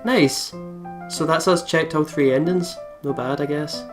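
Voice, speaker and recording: A woman's voice: low voice, unhurried. A woman with a Scottish accent hosting a let's play, casual and sweary, switching between commentary and reading the game text aloud. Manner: sweary, informal. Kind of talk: casual gaming commentary with read-aloud narration. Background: game music.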